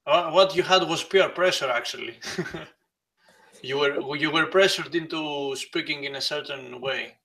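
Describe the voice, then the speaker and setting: A man's voice: fairly high pitch, a little slow, bright, forceful tone. A man talking as a guest in a casual conversation, explaining ideas at length in a thoughtful way. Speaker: guest, blogger.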